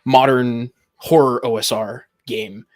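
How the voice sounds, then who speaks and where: A man's voice: medium pitch, fairly even intonation, takes it slowly. A man on a podcast panel giving his opinions in a casual, assertive, explanatory way.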